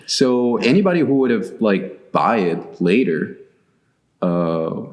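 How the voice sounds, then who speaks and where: A man's voice: lowish voice, sing-song, slow pace. A man from Chile chatting casually and hesitantly, with lots of fillers, in a large empty church sanctuary. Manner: hesitant, many fillers and restarts. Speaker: guest artist. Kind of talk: casual conversation. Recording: echoey large room.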